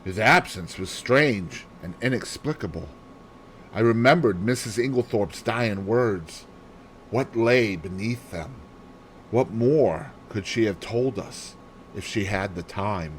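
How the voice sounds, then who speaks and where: A man's voice: lowish voice, lively intonation, slow, a little hiss or hum, poor audio. A man reading a novel aloud in a theatrical storytelling style, acting out the dialogue. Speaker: amateur audiobook reader. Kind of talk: dramatic novel read-aloud.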